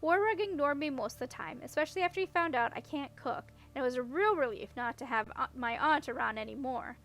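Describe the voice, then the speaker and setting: High-voiced, earnest young princess played by a woman. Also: brisk, fairly bright tone. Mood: rueful. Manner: earnest, rambling, exclamatory. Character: awkward, unlucky young princess, earnest and chatty. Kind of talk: audiobook character voice.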